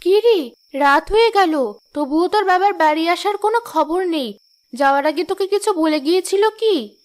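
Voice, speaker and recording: A woman's voice: very high-pitched, lively intonation, normal speed. An actress playing a worried, blunt mother from a poor village family in a cartoon. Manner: blunt, fretful. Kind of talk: cartoon voice acting. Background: background music.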